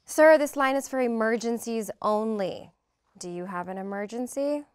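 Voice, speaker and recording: An actress reading a brisk female emergency dispatcher in a table reading, procedural and impatient. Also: on the high side, smooth, no echo, studio quality, lightly acted.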